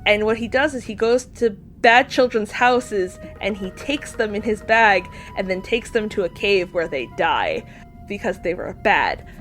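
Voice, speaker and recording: A woman from Spain chatting casually as a podcast guest, playful, animated and jokey, happy to swear. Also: medium pitch, a little slow, faint music behind. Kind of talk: casual chat.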